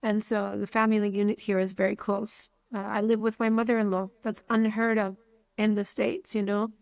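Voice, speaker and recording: A woman's voice: mid-range voice, level tone, normal speed, gravelly, a little mellow, no room sound. Frank Palestinian-accented woman in her forties. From Saudi Arabia. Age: 40s. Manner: frank, repeats words when starting a thought. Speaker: guest. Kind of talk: casual interview talk.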